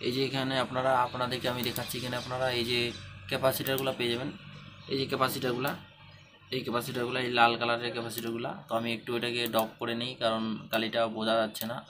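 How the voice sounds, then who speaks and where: A man's voice: mid-range voice, monotone, racing. A man presenting a hands-on repair tutorial, repeating himself as he instructs step by step. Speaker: phone repair tutorial host. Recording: clearly noisy home-made recording.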